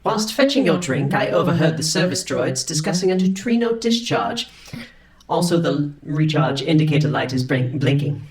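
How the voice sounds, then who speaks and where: An actor playing a dutiful, literal-minded android valet, formal and deadpan, in a comic sci-fi audio drama. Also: male voice, fairly high pitch, moderate pace, a crowd in the background, lightly acted, low-quality recording. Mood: matter-of-fact, apologetic.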